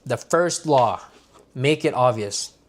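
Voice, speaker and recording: Male streamer reading a book aloud, mid-pitched, unpolished, trips over words, chats to viewers between passages. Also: lively intonation, takes it slowly, slight background noise. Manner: unpolished reading with stumbles and restarts, casual asides to viewers. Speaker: livestreamer.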